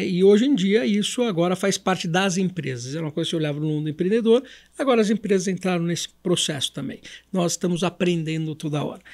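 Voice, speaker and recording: A Brazilian man with a notably high voice talks as a podcast guest. He explains things conversationally and didactically, often repeating phrases and answering his own questions. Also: a little mellow. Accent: Brazilian Portuguese.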